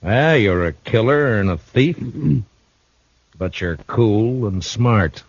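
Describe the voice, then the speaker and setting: An actor playing a steady, shrewd frontier marshal in a 1950s radio drama, speaking in a calm, dry, measured way. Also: male voice, lowish voice, husky, full character voice. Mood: calm, probing. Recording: dull old radio broadcast recording.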